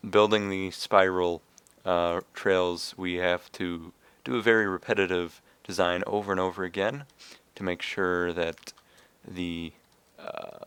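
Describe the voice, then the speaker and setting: A young man with a raspy, slightly hoarse voice, casually explaining a game build step by step with fillers. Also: low-pitched, a bit bright, no room sound. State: hoarse from coughing. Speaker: video game tutorial maker. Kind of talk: casual screen-recorded game tutorial.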